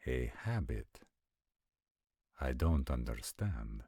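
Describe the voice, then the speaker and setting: A man playing an ancient supernatural warrior in a roleplay audio drama, speaking formally and deliberately with concerned, protective gravity. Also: a bass voice, big pitch swings, dark tone, slightly rough, no room sound, clean studio sound, full character voice. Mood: curious, puzzled. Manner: formal, measured, stilted diction with deliberate pauses. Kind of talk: scripted roleplay audio acting.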